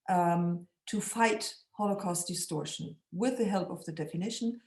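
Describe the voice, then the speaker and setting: A woman speaking as a guest in an online panel discussion, measured and careful, with frequent restarts. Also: medium pitch, darkish tone. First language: German. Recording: echoey room.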